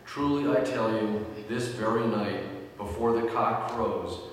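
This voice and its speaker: A man reads as a solemn, grieving male teacher in a Passion play. The voice is low and deliberate, heard from far off in a hugely reverberant hall. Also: fairly even intonation. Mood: solemn, foretelling. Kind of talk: dramatic scripture reading. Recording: very echoey hall, distant mic, faint noise.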